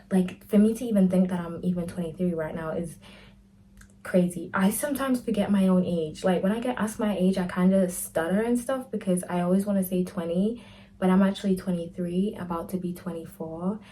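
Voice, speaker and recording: A woman's voice: lowish voice, warm tone, slight background noise, low-quality recording. A woman, chatting candidly to camera in a casual Q&A vlog, full of "like" and "type of thing". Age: 20s. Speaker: lifestyle vlogger.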